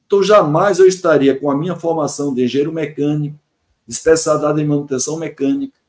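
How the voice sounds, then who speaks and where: Man in his 60s, Brazilian accent, fairly high-set voice, podcast guest, earnest explanatory delivery, emphatic listing. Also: steady tone, fast, darkish tone. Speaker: podcast guest, engineering consultant. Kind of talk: explanatory interview answer, semi-formal.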